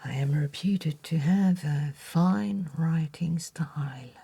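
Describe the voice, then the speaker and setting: A woman playing a gentle, courteous bookshop letter-writer in an ASMR roleplay, whispering breathily with slow, formal, deliberate phrasing. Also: low voice, vocal fry, husky, heavily acted. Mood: quietly proud.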